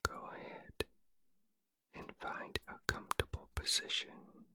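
A man hosting a sleep relaxation podcast in a breathy whisper, speaking in a gentle, soothing ASMR style. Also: unhurried. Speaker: podcast host and relaxation guide.

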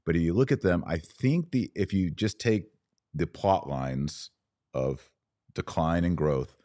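Very deep-voiced man in podcast discussion. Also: unhurried, warm tone.

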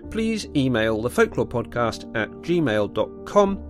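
A man reads the podcast's closing announcement in a lively, varied voice. Clear guitar music plays behind him. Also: medium pitch, a little slow. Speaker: podcast host. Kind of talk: scripted outro.

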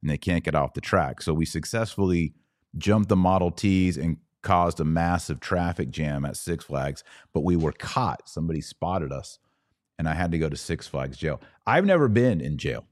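A low-pitched American man hosts a live commentary podcast in a casual, conversational way. He stretches key phrases for emphasis and likes to pose rhetorical questions. The recording is dry, with very little room sound. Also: expressive, moderate pace, no room sound. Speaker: podcast host.

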